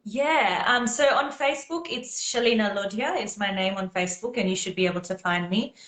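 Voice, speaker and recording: A woman's voice: mid-range voice, brisk, a bit bright, echoey room. A woman whose first language is Gujarati, chatting as a guest on a live video call, talking in an earnest, encouraging way and laughing often. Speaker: guest singer. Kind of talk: casual live video chat.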